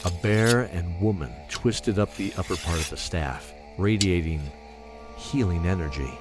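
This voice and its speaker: Deep-voiced man narrating fantasy. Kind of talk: scripted fantasy storytelling narration.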